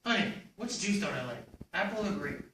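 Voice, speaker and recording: A man's voice: high voice, sing-song, brisk. A man playing a clueless, self-absorbed father in a comic readers theater piece. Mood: deadpan. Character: goofy, clueless, self-absorbed suburban dad. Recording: very echoey room, distant mic, faint noise.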